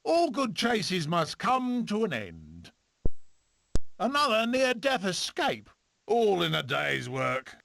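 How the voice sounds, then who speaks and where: A man voicing a cocky, excitable furry adventurer animal in a video game, with a theatrical, exclamatory delivery. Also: very high-pitched, gravelly, slight background noise, exaggerated voice. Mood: cocky relief.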